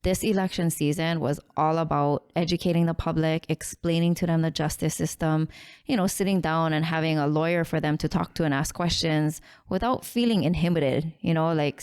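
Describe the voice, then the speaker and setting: A woman with a notably low voice speaks into a studio mic. She is a defense attorney from Hawaii, confident and direct as she lays out the legal details. The talk is a relaxed, casual interview. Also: normal speed, clean tone. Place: podcast studio. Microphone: studio microphone with headphones.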